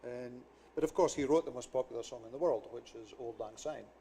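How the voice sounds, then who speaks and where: A man's voice: medium pitch, brisk, mellow, slight background noise. A Scottish man, a talk show guest, explaining history in an anecdotal way. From Scotland. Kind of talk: studio talk show interview.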